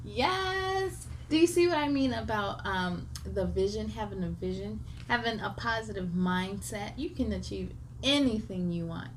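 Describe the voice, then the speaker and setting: A woman's voice: medium pitch, a little slow, few pauses, a little hiss or hum. A woman hosting a children's story time, reading aloud expressively and talking encouragingly straight to young listeners.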